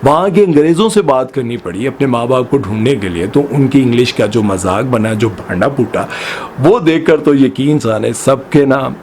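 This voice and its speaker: Male news commentator, heated and pointed, middle pitch, faint hiss behind. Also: expressive, moderate pace, darkish tone. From Pakistan. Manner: impassioned, full of rhetorical questions.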